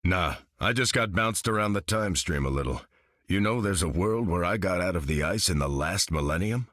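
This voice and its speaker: An earnest, courteous soldier hero with a deep, steady voice. The recording is close and dry. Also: male voice, normal speed, dry recording. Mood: earnest, friendly.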